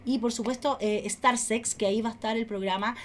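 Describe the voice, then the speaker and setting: A woman's voice: a little high, fairly even intonation, fairly quick. An effusive woman, chatting casually as a podcast co-host. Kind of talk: casual chat.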